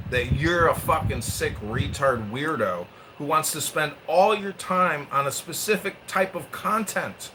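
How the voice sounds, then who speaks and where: Male podcast host, lively pitch, crude and combative delivery, noisy background. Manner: crude, combative, swings between mutters and bursts. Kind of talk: provocative casual chat.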